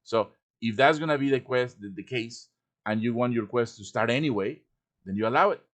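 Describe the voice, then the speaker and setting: Male livestream instructor, average pitch, informal and talkative, walks listeners through each step and keeps checking they follow. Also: fairly quick. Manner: chatty, explains step by step with check-in tags. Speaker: host and instructor of a livestream tutorial. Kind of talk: informal live software tutorial.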